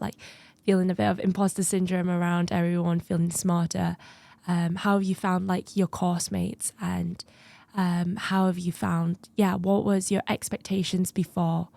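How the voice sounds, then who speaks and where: Young woman hosting a student chat, bit of vocal fry, asks quick friendly questions. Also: fairly low pitch, dark tone, no room sound. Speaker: student host. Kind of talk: casual interview.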